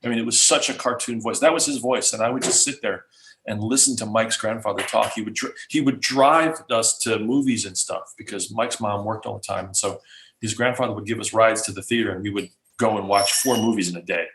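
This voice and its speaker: Deep-voiced man answering questions. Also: brisk, slight background noise. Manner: animated, many restarts. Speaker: guest speaker. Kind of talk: casual Q&A answers. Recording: roomy echo.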